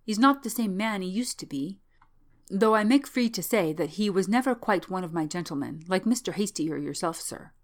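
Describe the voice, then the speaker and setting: A woman's voice: mid-range voice, lively intonation, normal speed, lightly acted. A woman voicing a wrinkled old manservant in an audiobook, deferential, chatty and worried, with rustic, servile speech. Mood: worried, confiding. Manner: servile, rustic ungrammatical speech, frequent "sir". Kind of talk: audiobook character voice.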